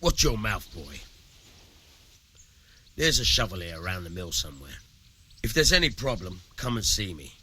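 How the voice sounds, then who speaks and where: An actor playing a shrewd, amoral village miller in a medieval video game, gruff, coarse and slyly persuasive. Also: male voice, low-pitched, very animated, moderate pace, pauses often, bright, forceful tone, slight background noise, heavily acted. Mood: gruff advice. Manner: sly, persuasive, coarse-tongued. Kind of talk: video game dialogue acting.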